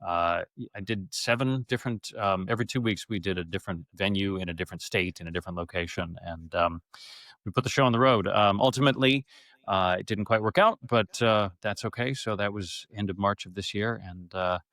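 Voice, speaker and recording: A middle-aged man telling stories from his life as an interview guest, casual, animated and rambling. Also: on the low side, brisk, dry recording. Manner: animated, rambling, acts out quoted speech. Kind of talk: casual conversational storytelling.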